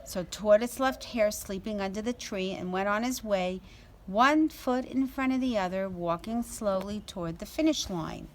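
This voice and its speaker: A woman reading a children's story aloud as a storyteller, in an expressive, deliberate way. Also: mid-range voice, a little hiss or hum, rough recording.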